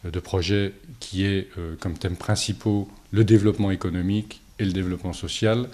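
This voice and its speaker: A low-pitched man speaks as a local politician making a formal statement. His tone is dark and mellow. He moves in a measured way, often stopping on drawn-out hesitations. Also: fairly even intonation. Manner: hesitant, halting delivery with frequent long filler pauses. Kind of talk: political statement to camera, semi-prepared.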